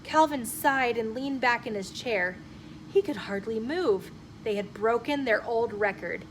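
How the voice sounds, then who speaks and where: A woman storyteller reading a children's chapter book aloud in an expressive, emphatic way. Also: on the high side, measured pace, low-quality recording. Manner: animated, emphatic phrasing with acted dialogue. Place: inside a bookshop. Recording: noticeable room noise behind the voice.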